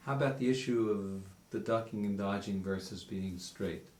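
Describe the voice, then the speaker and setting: A man, a speech therapist, asking gentle, encouraging questions during a session. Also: fairly low pitch, moderate pace, echoey room.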